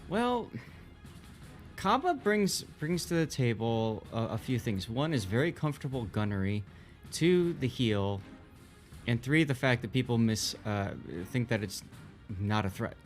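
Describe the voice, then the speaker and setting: Male game shoutcaster, mid-pitched conversational delivery, faint music bed. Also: animated, unhurried. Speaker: esports shoutcaster. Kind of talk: live game commentary, conversational.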